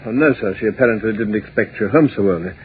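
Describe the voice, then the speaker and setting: An actor playing a timid, deferential manservant in a 1940s radio drama, formal and apologetic with a slight stammer. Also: male voice, lowish voice, fast talker, natural voice. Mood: nervous deference. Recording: tinny, noisy 1940s radio recording.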